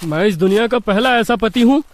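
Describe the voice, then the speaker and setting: An actor playing an exasperated, henpecked young husband in a cartoon, flustered and pleading. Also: male voice, high-pitched, a little fast.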